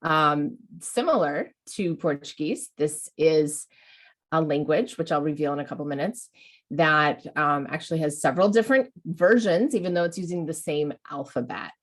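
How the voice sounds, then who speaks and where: A woman's voice: sits low, normal speed. A woman hosting an educational webinar, talking in an encouraging way and often restarting her sentences. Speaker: webinar host.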